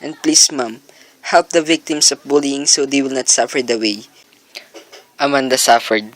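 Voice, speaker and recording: A man's voice: medium pitch, steady tone, moderate pace, a bit bright, close to an everyday voice, low-quality recording. A man playing an earnest young son in a scripted radio drama monologue. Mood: apologetic, tender. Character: earnest young son reading his farewell letter.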